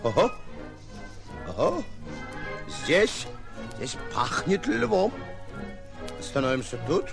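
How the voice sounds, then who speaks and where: An actor playing a pompous, excitable, boastful would-be lion hunter in a bombastic, theatrical 1940s radio comedy style, often muttering to himself. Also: male voice, medium pitch, creaky, heavily acted. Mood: tense, scheming. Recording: old 1940s radio recording.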